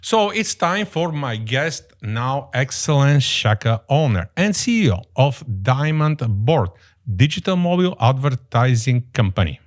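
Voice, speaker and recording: A man hosting an interview podcast, halting, with many fillers and repeated words. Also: mid-range voice, very animated. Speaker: podcast host, realtor.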